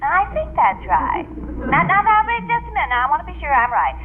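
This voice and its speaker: A woman's voice: very high-pitched, very animated, fast. A woman playing a scatterbrained, chatty lady neighbour in a vintage radio comedy, flustered and forever correcting herself. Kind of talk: 1940s-50s radio comedy acting. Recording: tinny phone-like old radio, hiss.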